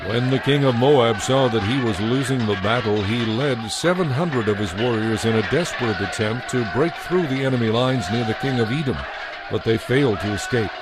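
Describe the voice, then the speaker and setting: A man narrating a dramatized audio Bible in a measured storytelling manner. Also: medium pitch, heavy background noise.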